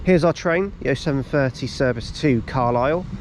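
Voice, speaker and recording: A man's voice: mid-range voice, brisk. A man with a stuffy, blocked-up voice from a cold, chatting casually as he vlogs from railway station platforms, with lots of ums and restarts. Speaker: railway vlogger. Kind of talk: casual vlog narration. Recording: outdoor noise on camera mic.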